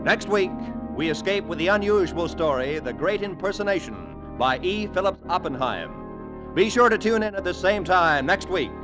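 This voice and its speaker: A man's voice: high-pitched, animated, moderate pace. A man reading scripted credits as a 1940s network radio announcer. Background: music.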